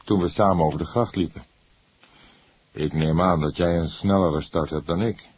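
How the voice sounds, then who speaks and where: A man playing a calm older police detective who questions in a measured, probing way in an audiobook. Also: low voice, slightly rough, dry recording. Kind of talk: acted audiobook dialogue.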